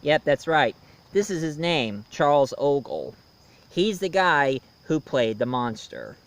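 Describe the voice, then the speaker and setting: Clear-voiced man, halting casual movie reviewer. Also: fairly high pitch, very animated. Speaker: reviewer, show host.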